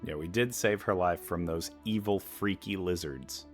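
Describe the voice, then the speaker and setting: A man with a low voice casually commentates on a game. He rambles and thinks aloud in let's play style, with faint music behind him. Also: moderate pace.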